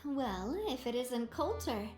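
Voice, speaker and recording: High-voiced, poised young gang leader. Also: female voice, animated, slow pace, darkish tone. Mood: poised, friendly. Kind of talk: video game voice acting. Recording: roomy echo, music underneath.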